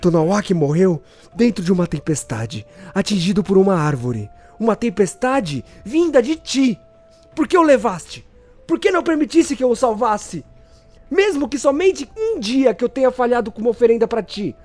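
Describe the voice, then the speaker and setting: A man's voice: high-pitched, very animated, normal speed, darkish tone. A man playing an anguished, guilt-ridden hero in an audio drama, speaking with pleading emotion. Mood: accusing, anguished. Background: music.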